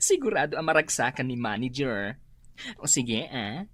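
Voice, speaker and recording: An actress playing a teasing office coworker in a radio comedy drama. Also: female voice, a bass voice, wildly swooping pitch, a little slow, fairly bright tone, husky, no room sound, full character voice. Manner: teasing, lecturing.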